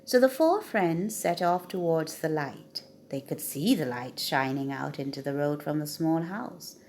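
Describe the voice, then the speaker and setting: A woman reading a children's story aloud with expressive, dramatic emphasis. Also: low-pitched, unhurried.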